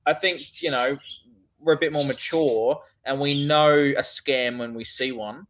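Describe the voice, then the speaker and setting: A man's voice: mid-range voice, fairly even intonation, measured pace, fairly bright tone, slight background noise, poor audio. A man chatting casually on a call, filling his talk with "you know". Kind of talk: casual group chat.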